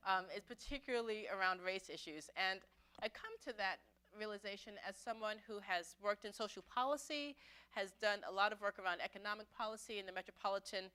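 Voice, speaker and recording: A middle-aged woman from Brooklyn, a pastor telling her own story to an adult forum in a conversational, reflective way, often pausing and restarting. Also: mid-range voice, bright, forceful tone, smooth. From Brooklyn, New York City. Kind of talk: personal testimony talk. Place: church meeting room.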